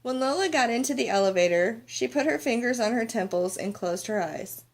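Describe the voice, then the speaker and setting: A woman reads a children's picture book aloud as an expressive storyteller. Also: fairly high pitch, fairly quick. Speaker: storybook reader.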